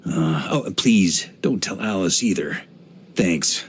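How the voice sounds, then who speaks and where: An actor playing a hearty middle-aged knight grandmaster in a video game, an easygoing adventurer who talks in a wry, conversational way. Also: male voice, low-pitched, a little hiss or hum. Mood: amused, sheepish. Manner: relaxed, wry, conversational, with chuckles and musing pauses. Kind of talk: video game character voice lines.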